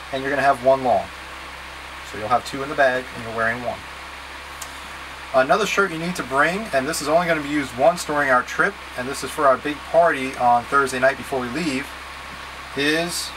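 A man in his thirties with a slightly high-pitched-to-middle, ordinary voice explains things step by step like a scout leader. He is plain-spoken and casually directive, talking to a camera a few feet away in a small indoor space, with a clear steady hum of noise behind him. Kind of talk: instructional packing talk to camera. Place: small wood-panelled boat cabin, indoors.

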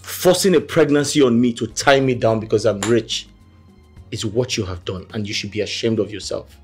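An actor playing a cold, dismissive rich young husband, curt and sarcastic. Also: male voice, on the low side, normal speed, darkish tone, soft background music, natural voice. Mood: accusing.

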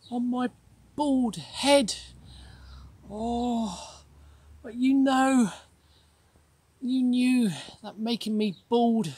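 A woman plays a grumpy bald prophet who groans and sighs through every line. He moans at a very slow, dragging pace and still lands fairly high. The sound is dry and close. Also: husky, no room sound, full character voice. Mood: moaning.

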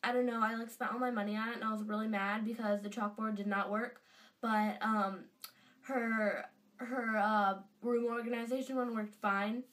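A woman's voice: fairly high pitch, steady tone, normal speed, fairly bright tone, distant mic. Chatty teen girl with a stuffy cold. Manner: chatty and gushing, lots of fillers. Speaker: vlogger. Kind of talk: casual fan vlog. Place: bedroom indoors. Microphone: webcam at desk distance.

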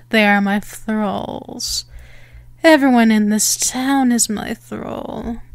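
A woman's voice: mid-range voice, no room sound. A woman playing a smug, predatory female vampire in an ASMR roleplay, teasing and condescending as she draws out her words. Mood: smug, menacing. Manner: mocking, condescending, drawn-out delivery. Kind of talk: slow ASMR roleplay monologue.

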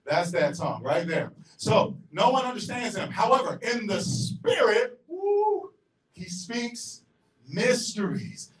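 A middle-aged Southern US pastor delivers a sermon, his high voice jumping from ringing shouts to hushed asides as he paces the stage. He speaks into a handheld mic in a large auditorium, heard from far back with a roomy echo. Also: sing-song, slow pace. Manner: emphatic preaching, swings between shouts and low asides; paces and gestures across the stage while preaching. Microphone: handheld mic, filmed from far back. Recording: echoey hall from far off.

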